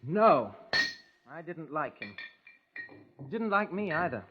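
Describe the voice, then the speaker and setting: A man's voice: fairly high pitch, big pitch swings, slow pace, music in the background. An actor playing a lovestruck, volatile young English handyman in an emotional, earnest 1950s radio crime drama. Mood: sullen, scornful. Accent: British. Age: young adult. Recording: muffled old radio broadcast recording.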